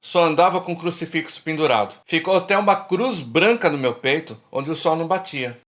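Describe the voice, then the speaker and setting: A middle-aged guy acted by a man in a radio play, cautious yet teasing with his old friends. His voice is on the high side for a man. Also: lively intonation, brisk, fairly bright tone. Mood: confessional. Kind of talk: radio drama acting.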